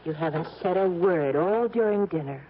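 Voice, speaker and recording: An actress playing a doting, naive rich young wife in a 1970s radio drama, coaxing and earnest. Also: female voice, lowish voice, animated, normal speed, husky. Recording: noisy narrow-band 1974 radio recording.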